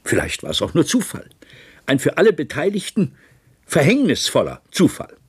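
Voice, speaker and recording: An actor playing a grave, probing chairman of an inquiry, speaking formally and deliberately in a 1970s radio play. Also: on the high side, wildly swooping pitch, breathy edge, full character voice.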